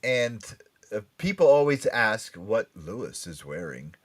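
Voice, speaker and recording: A man hosts a loose, casual panel chat. His pitch swings widely as he talks, and his delivery is excitable and animated. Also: measured pace. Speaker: podcast host and convention promoter.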